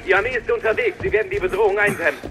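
An actress playing a dutiful military dispatcher with a formal, clipped reporting manner in an audio drama. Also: female voice, medium pitch, racing, natural voice. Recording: loud music and gunfire behind.

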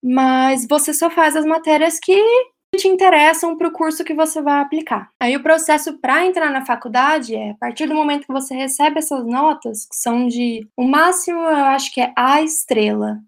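A young woman talks in a high, bright voice. She explains things at length in an easy, chatty way, often checking in with tag questions. She sounds like a guest in a relaxed interview. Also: moderate pace. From Brazil. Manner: chatty, explains at length with frequent "né?" and light laughs. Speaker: guest, professional ballerina living in England. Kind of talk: casual interview answers.

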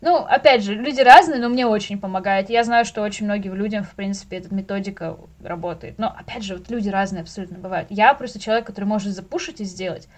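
A young woman chatting casually as a podcast guest, telling things in slangy, animated speech with the odd English word. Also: mid-range voice, quick pace, few pauses. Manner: animated storyteller, acts out little inner dialogues; slangy, drops in English words.

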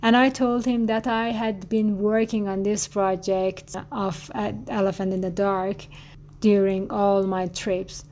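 A woman from Iran with an Iranian accent, a native Farsi speaker, answering interview questions in halting English and searching for words. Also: mid-range voice, little pitch movement, darkish tone. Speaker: guest artist.

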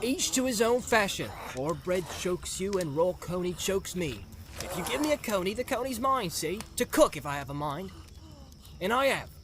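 A man's voice: sits high, very animated, normal speed, pitched above the performer's own voice. A man voicing a plain-spoken, stubborn, practical hobbit servant with homely, firm phrasing in a storybook reading. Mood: firm, scolding. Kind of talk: dramatised audiobook character voice.

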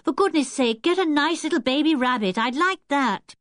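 Woman acting out young girl, anxious, fretful, squeamish, lively intonation, children's storybook, dry recording. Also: mid-range voice, normal speed, husky, no room sound. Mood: pleading. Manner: fretful, expressive.

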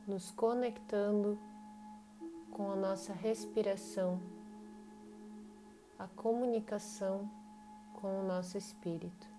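Slow-paced Brazilian woman guiding meditation. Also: medium pitch. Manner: unhurried, long pauses between short phrases. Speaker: guided meditation leader. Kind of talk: guided meditation. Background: meditation music with singing bowls.

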